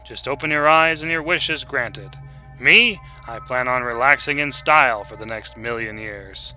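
A smug little troll, acted out by a man, impatient and flippant. The voice is very bright and forceful, wisecracking through a storybook tale with music underneath. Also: medium pitch, normal speed. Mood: smug, breezy. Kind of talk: dramatic storybook reading.

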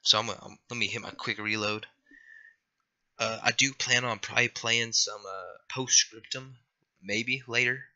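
A man's voice: medium pitch, fairly even intonation, unhurried, pushed and bright, highs cut off. A young man with a scratchy voice after being sick, rambling and apologizing through casual gameplay commentary. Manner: rambling, apologetic, lots of fillers. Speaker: gaming youtuber.

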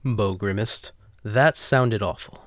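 A man's voice: on the low side, slow, dry recording, clean studio sound. A man narrating a scripted story for an audio drama.

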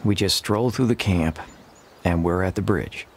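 An actor playing a courteous, laid-back cowboy gunslinger in a western video game, plainspoken and gruff. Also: male voice, deep voice, measured pace, a little hiss or hum. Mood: easygoing. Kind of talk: dramatic video game acting.

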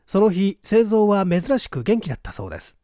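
Lively, dry-sounding male story narrator. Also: sits high, fairly quick, no room sound. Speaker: audiobook narrator, small-theatre stage actor. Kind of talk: scripted narration, mystery novel read aloud.

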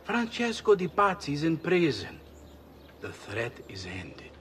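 A man's voice: a little high, huge pitch swings, unhurried, fairly bright tone, slight background noise, heavily acted. An actor playing a genial, dismissive middle-aged statesman in a video game. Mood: genial, reassuring. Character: genial, dismissive older Italian statesman, family friend. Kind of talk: video game character acting.

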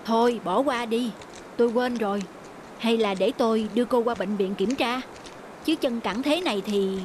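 A plain-spoken young cartoon traveller. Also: male voice, very high-pitched, moderate pace, slight background noise. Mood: reassuring. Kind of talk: cartoon dialogue dubbing.

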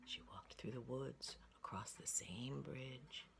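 A woman in her sixties telling a children's story in a dramatic, folksy way with emphatic pauses. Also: very deep voice, gravelly, in a whisper, loud music behind the voice. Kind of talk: animated oral storytelling for children. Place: indoor room with wooden ceiling. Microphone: phone at arm's length.

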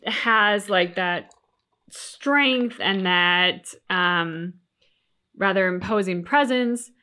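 A woman's voice: medium pitch, very slow, pushed and bright. A woman in her thirties chatting casually and thoughtfully as co-host of a reaction show. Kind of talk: casual, analytical chat. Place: small furnished room, on a couch.

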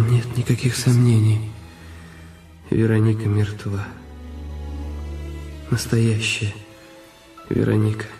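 A brooding, secretive husband in a radio detective drama. His voice is mellow and even, delivered deliberately in short phrases over faint music. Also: fairly low pitch. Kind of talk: radio play acting.

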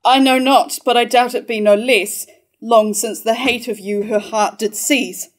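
A woman's voice: a little high, fairly even intonation, a little slow, close to an everyday voice. A woman playing a deferential royal servant in a staged verse tragedy, speaking in a formal manner. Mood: deferential, uneasy. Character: servant messenger informing the king, deferential.

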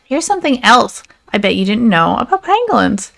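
Woman voicing an insistent, earnest pangolin, high-pitched. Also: big pitch swings, brisk, vocal fry, fairly bright tone, exaggerated voice. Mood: patient, insistent. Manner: insistent, correcting. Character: exasperated, earnest pangolin trying to explain himself.

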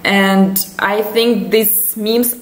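A woman's voice: mid-range voice, steady tone, extremely slow. A young woman with a Russian accent, chatting casually as she explains internet memes in a lesson, with frequent fillers and laughs. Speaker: language teacher. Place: small room indoors. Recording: echoey room.

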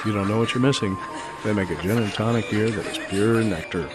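A man's voice: on the low side, brisk, music in the background, natural voice. An actor playing a polite, courteous male college professor of about fifty in an audio drama.

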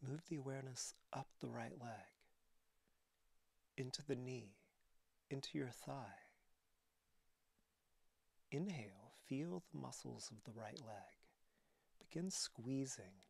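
Slow, hushed man guiding meditation. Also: mid-range voice, creaky, breathy edge, dry recording. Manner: calm, soothing instruction. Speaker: yoga meditation teacher. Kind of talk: guided relaxation meditation.

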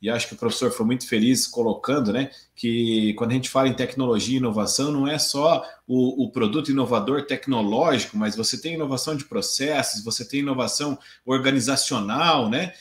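A man's voice: mid-range voice, a little fast, hardly any pauses. A man hosting an online event, thanking the speaker in a formal, courteous way. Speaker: event host, professor. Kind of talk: thanking speaker on video call. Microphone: webcam on video call. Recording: echoey room on video call.

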